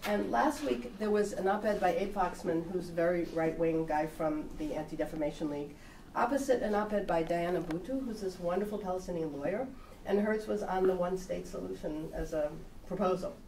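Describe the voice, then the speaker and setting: Low-voiced woman speaker in an echoey hall. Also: fairly quick, a little mellow. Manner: wry, conversational. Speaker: invited speaker. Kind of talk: public talk and Q&A. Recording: echoey room, distant mic, noisy.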